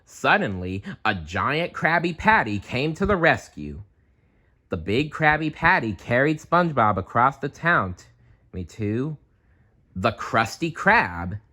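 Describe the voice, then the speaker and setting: A man reading a children's storybook aloud, expressive and chatty, with casual asides. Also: medium pitch, a little slow. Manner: animated, chatty.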